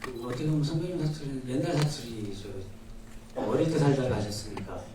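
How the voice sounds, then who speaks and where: A man, a film director, giving measured explanations at a press conference. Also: medium pitch, crowd noise. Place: cinema hall at a press screening. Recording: very echoey hall, distant mic, noisy.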